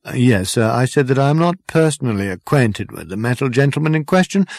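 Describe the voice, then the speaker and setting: A man's voice: mid-range voice, animated, a little fast, darkish tone, no echo, clean studio sound, voice pushed up. A man voicing a prim, unflappable male waiter who speaks formally and deferentially. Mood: polite.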